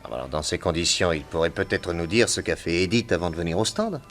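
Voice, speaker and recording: A private detective in a radio crime drama. He has a fairly low voice and a composed, authoritative way of putting questions. Light music sits faintly underneath. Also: lively intonation, quick pace, a bit bright. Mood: businesslike. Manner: methodical, questioning, reassuring authority. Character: Nick Carter, calm authoritative male private detective.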